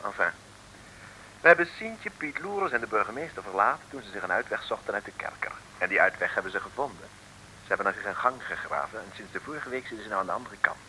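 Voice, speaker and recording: An actor playing a chatty, wry storyteller in a 1950s comic radio serial, joking directly with listeners. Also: male voice, on the low side, lively intonation, fast talker, pushed and bright, a little hiss or hum, rough recording. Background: music.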